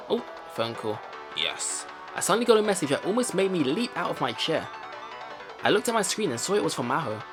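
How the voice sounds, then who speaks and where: A man's voice: a little high, fairly bright tone, husky. A man reading a visual novel aloud and commenting as he plays, thinking aloud in a chatty way. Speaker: let's player. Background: visual novel game music.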